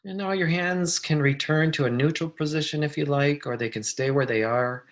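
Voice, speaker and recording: A meditation guide with a mid-pitched voice leads a guided practice. The delivery is gentle and soothing, with unhurried phrases and long pauses between instructions.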